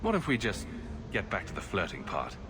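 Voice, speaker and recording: A man's voice: sits low, wildly swooping pitch, brisk, fairly bright tone, exaggerated voice. A man playing a wry, confident adventurer hero in a video game, with a dry, lightly teasing delivery. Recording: clearly noisy game audio background.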